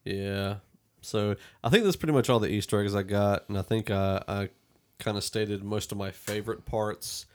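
A man's voice: on the low side, moderate pace. A man hosting a casual movie review podcast, talking in an opinionated, conversational way. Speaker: podcast host.

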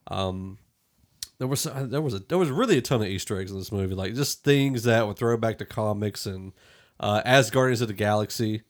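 A man hosting a podcast, casually discussing a film and thinking aloud in a halting way. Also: fairly low pitch. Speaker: podcast host.

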